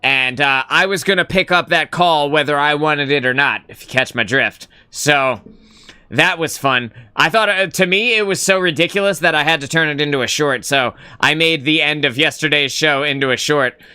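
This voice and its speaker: A man's voice: a little high, moderate pace, bright, forceful tone, clean tone. A young man hosting a casual live gaming stream, rambling and swearing casually as he greets chat.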